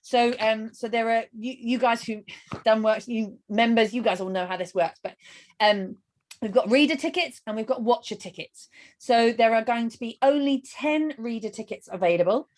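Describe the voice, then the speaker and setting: A woman's voice: a little high, moderate pace, a little mellow. A woman hosting a live webinar and interviewing in a casual, enthusiastic manner.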